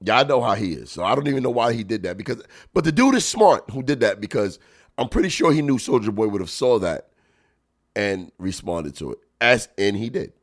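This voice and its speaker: Stuffy-nosed man, lively comedic host. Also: lowish voice, a little fast. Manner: animated, laughs loudly, chatty slang. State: stuffy nose. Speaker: podcast host and stand-up comedian. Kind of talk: casual chat reacting to clips.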